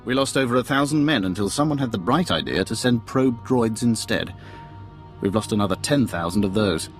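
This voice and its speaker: An actor playing a proud, businesslike imperial general briefing someone in a video game, in a formal, measured manner. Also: male voice, fairly low pitch, animated, faint music behind, natural voice. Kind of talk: video game cutscene acting.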